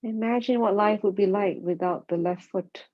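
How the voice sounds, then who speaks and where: A woman guides a body scan meditation, speaking calmly and gently in short, spaced phrases. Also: mid-range voice, moderate pace. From Singapore. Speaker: mindfulness meditation teacher. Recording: dull, muffled lo-fi sound.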